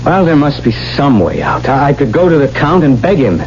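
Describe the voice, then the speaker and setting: Radio drama lead: male actor as headstrong, desperate young gambler in love. Theatrical, commanding, hugely animated delivery on a dull old recording. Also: mid-range voice, normal speed, slight background noise, lightly acted. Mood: desperate, reckless. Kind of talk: 1970s radio drama acting. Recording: dull 1970s radio recording, music behind.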